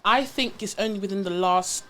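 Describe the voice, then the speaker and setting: A woman's voice: mid-range voice, fairly quick, crowd noise. A woman, chatting casually as a podcast co-host. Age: 20s. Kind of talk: casual chat.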